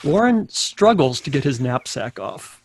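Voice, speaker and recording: A man with a creaky voice reading stage directions as narrator of a live table read. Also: fairly high pitch, sing-song, unhurried, slight background noise.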